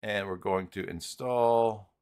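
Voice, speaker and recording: A man hosting a casual, unscripted live software tutorial, thinking aloud and muttering to himself as he works through each step. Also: lowish voice, steady tone, slow pace, clean tone. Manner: thinks aloud, muttering and humming filler syllables between steps. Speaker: live stream host and web tutorial presenter.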